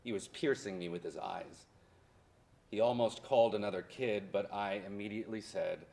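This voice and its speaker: An actor playing a history professor, the grown son of deported immigrants, reminiscing in a theatrical monologue that swings from hushed to loud. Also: male voice, lowish voice, normal speed, vocal fry. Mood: tense, hushed. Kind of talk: dramatic monologue in a staged play reading.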